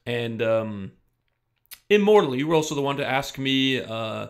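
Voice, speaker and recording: Man, gravelly voice, chatty and rambling, stream host, close studio mic, no pop filter. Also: mid-range voice, expressive, a little slow. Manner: rambling, self-interrupting, chatty. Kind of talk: casual live-stream chat. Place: room in Germany. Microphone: studio microphone without pop filter.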